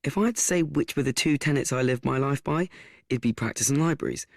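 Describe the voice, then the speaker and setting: A man's voice: medium pitch, fast, vocal fry, dry recording, studio quality. A young man playing an awkward, earnest, nervous teenage schoolboy in a radio play, hesitant and rambling. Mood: earnest, confiding. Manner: hesitant, rambling, self-conscious. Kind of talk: radio play acting with confiding narration.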